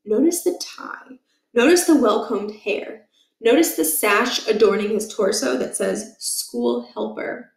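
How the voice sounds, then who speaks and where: A woman reading a children's book aloud as expressive narration, with measured, emphatic phrasing. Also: on the high side, echoey room, poor audio.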